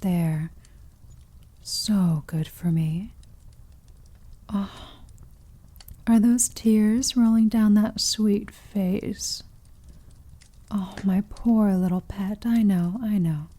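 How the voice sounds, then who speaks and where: Woman acting a slow, dark-voiced sadistic vampire mistress. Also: medium pitch. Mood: mock-tender. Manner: slow, purring, condescending endearments, breaking into breathy whispers. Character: sadistic possessive female vampire, seductive and commanding. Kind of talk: ASMR roleplay.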